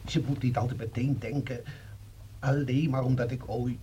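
A man's voice: mid-range voice, fairly even intonation, normal speed, mellow, slight background noise, lightly acted. An actor playing a defensive, exasperated husband talking to his wife on the phone in a radio play.

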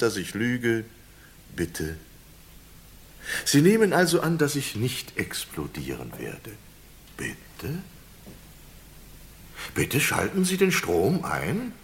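Condemned prisoner for radio thriller: mid-range voice, ornate courtesy, calm menace, sly mockery. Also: male voice, medium pitch. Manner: elaborately polite, hesitant, drawn-out menace. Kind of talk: German radio crime play acting.

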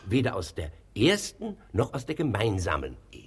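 An actor playing a smooth, sly notary, formal and insinuating, in a German radio comedy. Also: male voice, on the low side, huge pitch swings, normal speed, heavily acted. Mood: officious. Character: smooth, sly, obliging notary bending the law. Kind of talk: German radio comedy acting of a classic play.

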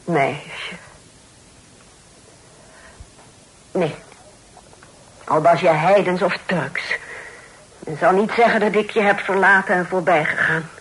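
An actress playing a pious, stern but charitable older aunt in a radio play, speaking in a preachy, solemn manner. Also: female voice, fairly low pitch, measured pace, long pauses, a bit bright, husky, low-quality recording. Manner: preachy, scripture-quoting, solemn exclamations. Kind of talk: Dutch radio play acting. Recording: old radio play recording.

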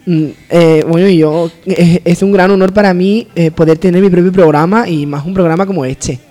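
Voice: medium pitch, fairly even intonation, moderate pace, mellow, close to an everyday voice. A man playing a ditzy, giggly female TV presenter in a parody interview, chattering airily and hesitantly. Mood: giggly, flattered.

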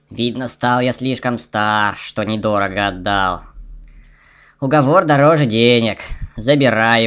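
Man doing a slow, sly old bog ghoul. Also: mid-range voice, sharp tone, smooth, pitched above the performer's own voice. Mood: greedy. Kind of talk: rhymed comic verse audio tale.

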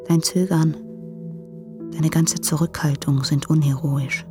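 A woman's voice: sits low, steady tone, slow, music in the background. An actress playing a frank, reproachful woman challenging her hesitant lover in a literary radio drama, intense and unsparing.

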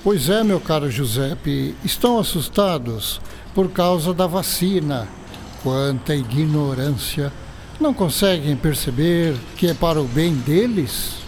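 Courteous, higher-pitched Brazilian scientist doctor. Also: male voice, lively intonation, a little slow, a little mellow, slight background noise. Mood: exasperated. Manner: didactic, courteous. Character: earnest scientist doctor, courteous, patiently explaining. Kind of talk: educational radio drama acting.

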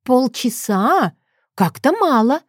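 A woman's voice: sits high, sing-song, takes it slowly, dry recording, studio quality, voice pushed up, heavily acted. A woman voicing a theatrical, defiant young woman who plays the wronged victim with pompous, exclamatory delivery in an audiobook story. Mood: mock plaintive.

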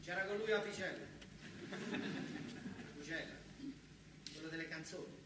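A man's voice: on the high side, normal speed. A man with a southern Italian accent delivers a theatrical first-person monologue in a colloquial way, with emphatic repetition. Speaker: stage storyteller. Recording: roomy, distant, noisy live recording.

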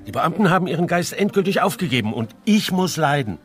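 An actor playing a disdainful, complaining intellectual in a scornful, theatrical radio drama manner. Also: male voice, fairly high pitch, big pitch swings, quick pace, faint music behind. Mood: aggrieved.

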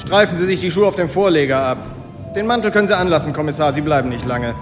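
An actor playing a huge, coldly officious bodyguard in a radio drama, clipped and commanding. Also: male voice, fairly high pitch, lively intonation, fast talker, lightly acted. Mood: cold, curt. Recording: reverberant hall, music behind.

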